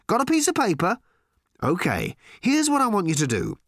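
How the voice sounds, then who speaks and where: A man voicing a brash, cocky male alien who gives loud, brisk orders. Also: sits high, huge pitch swings, dry recording, studio quality, voice pushed up, full character voice. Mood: bossy.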